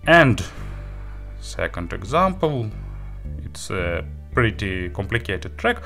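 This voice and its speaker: Male reviewer, lowish pitch, slow delivery, halting phrasing, enthusiastic emphasis, product review. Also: very animated, vocal fry, pushed and bright, soft background music. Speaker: audio gear reviewer.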